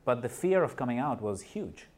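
A man's voice: mid-range voice, very animated, moderate pace, darkish tone. A man from Nepal and India, a guest in a podcast interview, speaking earnestly and often restarting and repeating words. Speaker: guest, corporate partner and mental health advocate.

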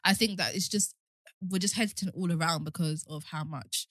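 A woman's voice: lowish voice, moderate pace, a bit bright, no echo, clean studio sound. A woman in her twenties co-hosting a podcast, chatting informally and explaining things in a conversational way. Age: 20s. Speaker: podcast co-host. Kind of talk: casual group chat.

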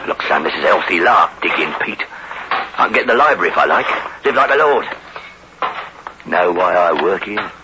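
An actor playing a hardened, menacing career criminal in a 1960s radio suspense drama, talking in rough underworld slang, bullying and cajoling. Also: male voice, low voice, animated, normal speed, pauses often. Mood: tough, boastful. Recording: roomy, muffled, noisy old radio recording.

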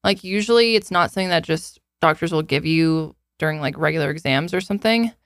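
A woman of twenty-seven chatting casually and candidly as a podcast co-host, informal and quick to swear. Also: low voice, no echo, studio quality. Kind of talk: casual chat answering listener questions.

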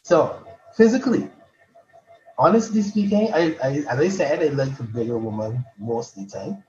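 A man from Barbados, a poet guest in a casual interview, talking haltingly with many restarts and repeated words. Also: medium pitch, lively intonation, a little mellow, lo-fi sound, faint music behind.

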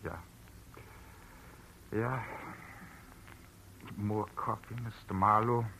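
An actor playing a grieving, kindly older rural man who speaks haltingly, in 1940s radio drama style. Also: on the low side, fairly even intonation, creaky, sharp tone, exaggerated voice. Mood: awkward, hospitable. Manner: halting, hesitant. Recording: noisy old radio recording.

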